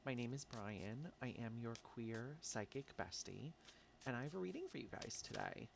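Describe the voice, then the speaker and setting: A man's voice: medium pitch, big pitch swings, moderate pace, vocal fry, noisy background. A man with an American accent giving a casual tarot reading, sounding congested from allergies and chatty, with frequent "um" and "like". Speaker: tarot reader.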